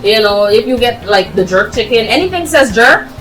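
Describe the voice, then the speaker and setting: A woman's voice: a little high, expressive, moderate pace, over music, low-quality recording. A woman chatting casually as an interview guest, explaining things in a talkative way peppered with "you know". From Guyana, raised in Barbados. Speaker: restaurant owner, interview guest.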